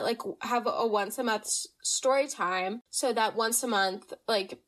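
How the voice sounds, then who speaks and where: A young woman hosting a casual storytime podcast, chatty and rambling, sounding a little nasal from allergies. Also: mid-range voice. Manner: bubbly, chatty, rambling with fillers. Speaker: podcast host.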